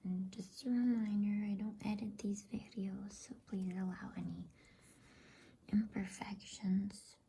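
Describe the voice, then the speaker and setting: A woman whispers softly and breathily in a gentle, informal, unhurried way, hosting an ASMR bedtime reading. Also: medium pitch, fairly even intonation, gravelly, slight background noise. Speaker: bedtime story reader and host.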